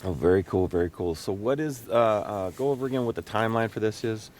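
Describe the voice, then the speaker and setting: A man with a low voice hosts a casual interview. He asks questions conversationally, with faint noise behind him. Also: quick pace, darkish tone. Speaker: interview host. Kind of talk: casual chat, asking questions.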